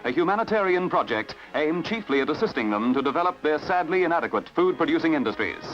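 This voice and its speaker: A man with an Australian accent narrating a newsreel in a brisk announcer style, on a dull old archival recording with music behind. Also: fairly high pitch. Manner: brisk announcer delivery with lively intonation.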